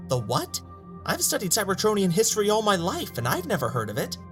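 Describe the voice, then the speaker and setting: A man playing an excitable, skeptical robot scholar in an audio drama, emphatic and questioning. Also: high voice, brisk, faint music behind. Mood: puzzled.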